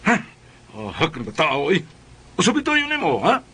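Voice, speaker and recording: A man's voice: a little high, wildly swooping pitch, normal speed, sharp tone, a little hiss or hum, heavily acted. A man playing a mild, long-suffering husband and exasperated father, narrating and acting in a comedic radio drama.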